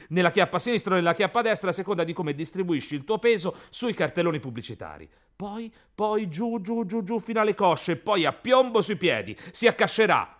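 A man's voice: on the high side, a bit bright. An actor voicing a pedantic, exasperated know-it-all man in a radio play. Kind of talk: radio theatre acting.

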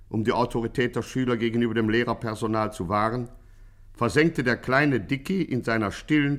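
A man's voice: mid-range voice, a bit bright, lightly acted. A man playing a bombastic, tongue-in-cheek crime chronicler, declaiming grandly in a vintage German radio satire. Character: bombastic mock-heroic crime chronicle narrator. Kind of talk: 1950s German radio play narration.

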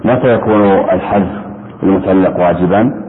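A low-pitched man leads a grammar lesson in a didactic way, restating rules and quizzing his students. The sound is narrow and phone-like, with steady noise behind him. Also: normal speed. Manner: didactic, repeats points and quizzes. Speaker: religious scholar teaching Arabic grammar to students. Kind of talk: interactive classroom lesson, questioning students.

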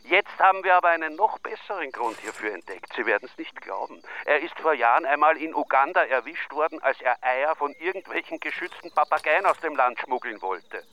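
An actor playing a brisk, matter-of-fact police inspector with an Austrian accent in a radio crime drama. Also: male voice, fairly high pitch, expressive, pushed and bright, dull audio. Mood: amused, confiding. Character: brisk police inspector briefing on a murder case.

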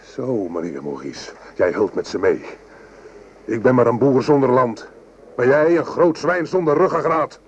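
A man's voice: mid-range voice, expressive, a little slow, a little mellow, breathy edge. An actor playing a French army man on the march in a radio drama. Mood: commanding anger. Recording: muffled, noisy old radio recording.

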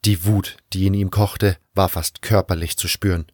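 Low-voiced man narrating a story. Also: fairly even intonation, normal speed, darkish tone, no echo, clean studio sound. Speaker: first-person narrator. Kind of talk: scripted audio drama narration.

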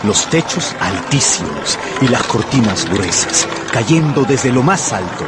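A man's voice: medium pitch, big pitch swings, measured pace, very noisy. An actor playing an anxious, tempted gambler who narrates his own story in a radio drama. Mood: absorbed, observant. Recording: loud music and crowd behind the voice.

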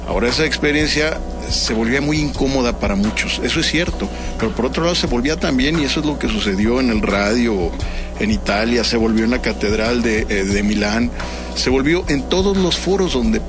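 Male panelist, average pitch, earnest and emphatic, with background music. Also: fairly quick. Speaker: panelist in a discussion. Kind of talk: conversational roundtable discussion.

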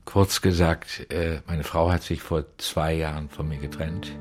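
A man's voice: extremely low pitch, steady tone, unhurried, breathy edge, faint music behind, heavily acted. An actor playing a reserved older man in a naturalistic, improvised radio drama. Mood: reflective, hesitant. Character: reserved older man left by his wife.

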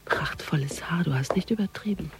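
An actress playing a sardonic, world-weary police detective in a radio crime drama, with a dry, mocking, intimate delivery. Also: female voice, sits low, brisk, background noise. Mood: coolly observing. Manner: mocking, dry, half-muttered monologue.

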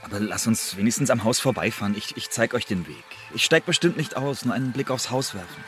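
Actor as cocky, defiant secret agent. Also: male voice, mid-range voice, fast, over music, lightly acted. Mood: eager. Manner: lively, insolent, conversational. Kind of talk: German radio drama acting.

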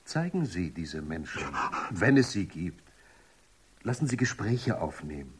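An actor playing an earnest, determined, level-headed investigator in a science fiction radio drama, matter-of-fact and persuasive. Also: male voice, low voice, sing-song, unhurried, slightly rough, heavily acted. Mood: measured, insistent.

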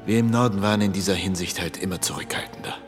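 In a reverberant hall with faint music, a man acts a deep-voiced clergyman, the trusted confidant. He is measured and soothing, a careful counsellor in a radio play. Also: lightly acted. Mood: sober. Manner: measured, soothing, hushed at times. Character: cautious, calming clergyman, the bishop's loyal male confidant. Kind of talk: German radio drama acting.

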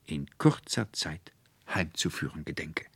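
A man's voice: rumbling low, lively intonation, a little slow, gravelly, a bit bright, breathy edge, no room sound, heavily acted. A man voicing a solemn, self-important official in a storybook reading, speaking with ceremonious, pompous formality. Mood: earnest, pompous.

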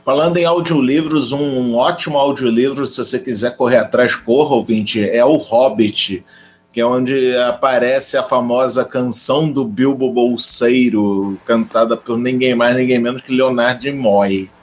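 A man's voice: mid-range voice, steady tone, normal speed. A man with a Brazilian accent hosting a casual podcast, speaking in an informal, emphatic way. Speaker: podcast host. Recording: faint music behind the voice. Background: faint music.

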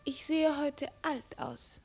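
A high, imperious voice for a proud and mocking princess. She speaks with deliberate, cold authority in the theatrical manner of 1950s radio drama. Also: sing-song, vocal fry, a little mellow, soft background music, exaggerated voice. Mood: haughty, mocking. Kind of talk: 1950s German radio play acting.

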